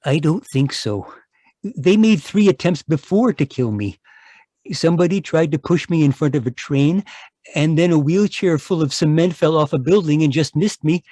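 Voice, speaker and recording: A man's voice: fairly high pitch, normal speed, dark tone, no room sound, close to an everyday voice. An actor playing a timid, apologetic, world-weary man of about fifty in a radio mystery, hesitant and stammering. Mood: nervous. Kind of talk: radio mystery drama acting. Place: live remote performance over video call.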